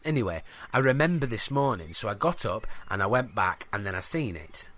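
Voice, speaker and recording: Casting: male actor for teenage farm boy, earnest, colloquial, halting rambler in comic audio fiction. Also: on the low side, sing-song, a bit bright. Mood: rambling, bemused. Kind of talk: scripted comedy audio fiction.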